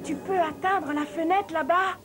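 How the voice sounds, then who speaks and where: An actress playing a plucky, resourceful young sleuth in a French cartoon dub, quick-witted and determined. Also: female voice, extremely high pitch, steady tone. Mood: urgent. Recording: music behind the voice.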